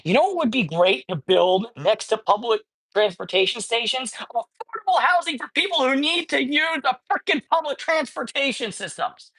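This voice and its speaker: A man's voice: very high voice, big pitch swings, normal speed, fairly bright tone, husky. A man holds forth on his livestream in a loose, rambling way. He curses freely and trips over his own sentences, circling back to restart his point. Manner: ranting, profane, rambling with many restarts. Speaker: livestreamer and cat rescuer. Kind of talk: casual livestream chat. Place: cat colony.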